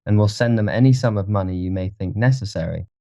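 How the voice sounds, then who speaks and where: A man voicing an anxious, imperious young gentleman with an intense manner, for an audiobook. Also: on the low side, animated, normal speed, no room sound, highs cut off, pitched above the performer's own voice, natural voice. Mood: commanding. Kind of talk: audiobook character voice.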